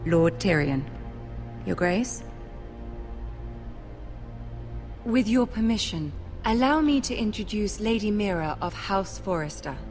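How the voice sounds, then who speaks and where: An actress playing a poised young noble lady in a game drama, gentle and persuasive, with a measured, diplomatic manner. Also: mid-range voice, lively intonation, soft background music, close to an everyday voice. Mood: courteous, formal.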